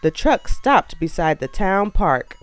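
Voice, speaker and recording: Slow, lively woman reading a storybook. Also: fairly low pitch, music in the background. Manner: expressive, animated storytelling. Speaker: storybook narrator. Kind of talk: scripted children's read-aloud narration.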